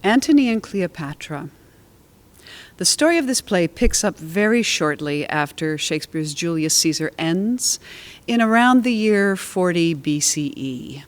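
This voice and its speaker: A woman with a markedly low voice speaks as a theater scholar. Her delivery is measured and articulate, thoughtfully explaining her subject to listeners. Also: animated. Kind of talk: explanatory discussion and spoken introduction.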